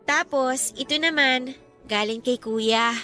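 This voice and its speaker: A supportive younger sister in a Filipino radio love drama. She is bubbly and girlish, with a very high, bright voice. Music plays lightly behind her. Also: takes it slowly, exaggerated voice. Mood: affectionate.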